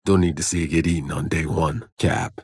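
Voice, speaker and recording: A man playing a gruff, sardonic, battle-worn soldier in a sci-fi video game, with a gritty, husky voice and a terse, dry delivery. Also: a bass voice, fairly even intonation, brisk, darkish tone, dry recording, full character voice.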